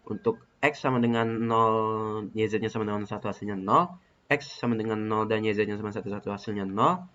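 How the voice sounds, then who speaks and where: A man's voice: on the low side, steady tone, fairly quick, fairly bright tone, a little hiss or hum. A young man, a student, reading out truth-table results step by step in a methodical way with hesitant fillers. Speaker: student presenting a case study. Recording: muffled lo-fi recording.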